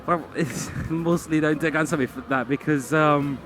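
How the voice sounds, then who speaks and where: A man's voice: on the high side, fairly even intonation, a bit bright, soft background music. A man from Scotland, a tournament winner giving a casual, jokey post-match interview. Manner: quick, chatty and jokey, laughing often.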